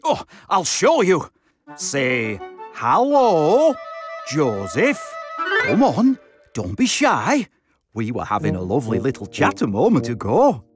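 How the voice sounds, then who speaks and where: A big, jolly older handyman in a children's cartoon. He is bumbling and excitable, his pitch swooping all over the place as he chuckles and hums at an unhurried pace, with music behind him. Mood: chatty.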